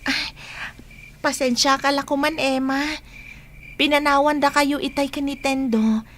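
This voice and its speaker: A woman's voice: high voice, flat delivery, unhurried, a bit bright, a little hiss or hum. A woman playing a chatty, supportive young best friend in an Ilocano radio drama. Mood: apologetic.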